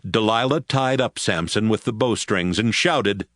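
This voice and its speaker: Low-pitched male story narrator, dry sound. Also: unhurried, fairly bright tone, dry recording. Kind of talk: scripted audio Bible drama narration.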